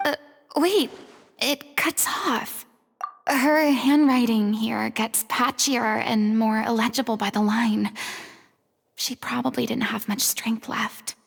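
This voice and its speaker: Breathy, solemn young woman investigator. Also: a little high, steady tone, slow, in a large hall, heavily acted. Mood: grave, reflective. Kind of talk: video game story voice acting.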